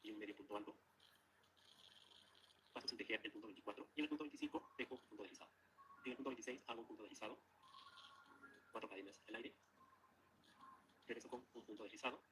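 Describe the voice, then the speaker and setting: Very fast-talking man over heavy noise. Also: on the low side. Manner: methodical, matter-of-fact. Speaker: crochet instructor. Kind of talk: step-by-step instructional narration.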